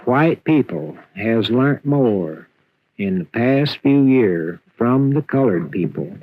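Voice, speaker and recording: Older archive voice, male, unhurried, muffled dry sound. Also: mid-range voice, lively intonation, no echo. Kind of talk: archival interview. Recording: dull dry old recording.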